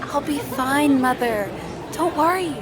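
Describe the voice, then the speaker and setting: An actress playing an earnest, bewildered woman in her twenties in an audio drama, speaking in a hesitant, deliberate manner. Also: high-pitched, a crowd in the background. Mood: reassuring, fond. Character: bewildered, earnest young woman trapped in a stranger's body. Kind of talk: audio drama acting with first-person narration.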